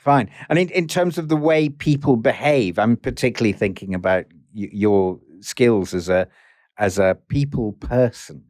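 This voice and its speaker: A man's voice: fairly low pitch, normal speed, a little mellow, no echo, clean studio sound. Encouraging male interviewer. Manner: encouraging, restarts questions often. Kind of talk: interview host questions.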